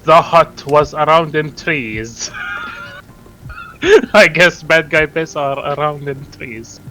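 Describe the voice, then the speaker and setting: A man reading a story aloud in a halting, deliberate way, with casual asides and an amused manner. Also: on the high side, sing-song, pushed and bright, faint music behind. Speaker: reader of fan fiction.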